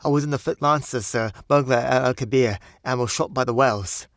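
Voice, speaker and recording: A man's voice: medium pitch, brisk, fairly bright tone, dry recording, studio quality, pitched above the performer's own voice, natural voice. A man voicing a young Cockney bellboy in an audiobook, deferential but indignant and uneasy, speaking ungrammatically. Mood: embarrassed, frightened. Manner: ungrammatical, servile, peppered with "sir". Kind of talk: audiobook character voice.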